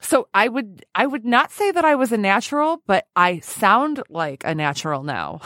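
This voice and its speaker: Woman, podcast host, very animated pitch, chatty, giggly, self-deprecating, close dry sound. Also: a little slow, no echo. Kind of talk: casual chatty interview.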